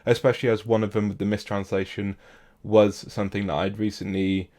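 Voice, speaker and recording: A man with a croaky voice, rambling casually to camera about learning languages, with lots of fillers and restarts. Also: lowish voice, flat delivery, brisk, mellow. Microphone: camera mic.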